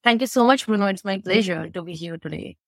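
A woman's voice: medium pitch, fast, creaky, no room sound. A woman from India, a guest on a podcast, explaining with examples and tags like "right?". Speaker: interview guest, AI expert. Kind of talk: casual interview chat.

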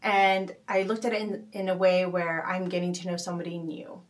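A woman's voice: on the low side, fairly even intonation, a little fast, distant mic. Woman talking reflectively, a bit off-mic. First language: not Arabic. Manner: thoughtful, many fillers and restarts, gentle laughs. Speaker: podcast host. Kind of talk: casual reflective talk.

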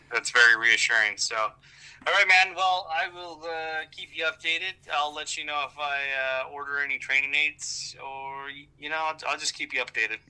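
A man's voice: medium pitch, bright, forceful tone, smooth, low-quality recording. An American man in a casual, profane chat, rambling along with wry self-deprecation. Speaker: golf vlogger and amateur golfer.

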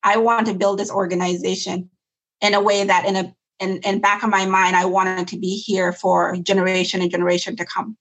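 A woman's voice: medium pitch, level tone, a little fast, breathy edge, rough recording. A woman from Sudan, a nonprofit founder speaking earnestly and reflectively in an interview, often restarting her sentences. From South Sudan. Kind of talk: interview conversation.

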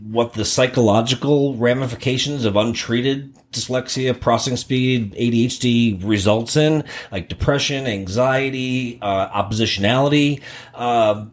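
A man hosting an interview podcast from his office as a psychologist, talking loosely with many fillers and restarts. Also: medium pitch. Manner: halting, lots of fillers and restarts. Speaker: psychologist host.

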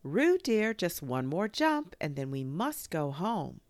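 A woman's voice: lowish voice, big pitch swings, slow pace, dry recording, voice pushed up, full character voice. A woman voicing a fussy, polite mother kangaroo in a children's storybook, prim and motherly. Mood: fussing, polite.

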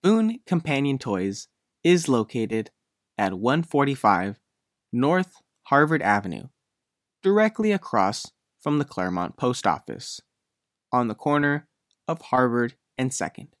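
A man's voice: medium pitch, very animated, a little slow, dry recording, studio quality. A man working as a reporter, reading a scripted news feature narration.

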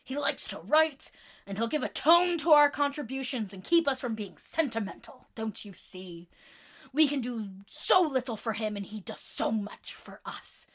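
A woman's voice: a little high, expressive, normal speed, pushed and bright, exaggerated voice. A woman playing a boisterous tomboyish young woman who speaks in a hearty, theatrical, mock-formal way. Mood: earnest.

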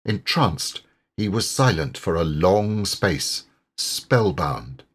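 A man with a lowish voice narrates literary fiction with dramatic feeling. His delivery is slow and measured, with lively rises and falls. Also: husky. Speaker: audiobook narrator, voice actor.

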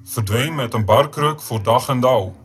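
A man performing a literary audio play in a measured, formal spoken-word narration. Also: mid-range voice, husky.

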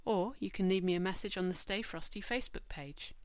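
A woman hosting a podcast, stuffy from a cold, speaking in a measured, informative way. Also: mid-range voice, clear, resonant tone, dry recording. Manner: measured, informative, reads lists slowly. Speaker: podcast host. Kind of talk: scripted podcast monologue.

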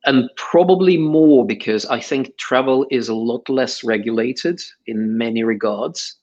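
A man's voice: mid-range voice, unhurried, darkish tone, muffled recording. A middle-aged man giving interview answers in a hesitant way, with many fillers and restarts. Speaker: guest.

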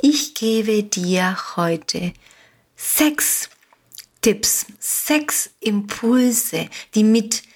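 A woman with a Spanish accent, a native Spanish speaker from Uruguay, hosting a motivational podcast with slow, repetitive, emphatic phrasing. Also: mid-range voice, expressive. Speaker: podcast host, coach. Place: home studio on an island.

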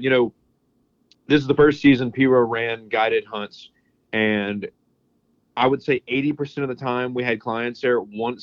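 A mid-pitched man from Louisiana tells easygoing stories as a podcast guest, filling his talk with "you know" and "man." The sound is dry and slightly dull, a bit lo-fi. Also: no room sound. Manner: relaxed storyteller, fillers like "you know, man". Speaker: guest, app co-owner. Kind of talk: casual podcast chat.

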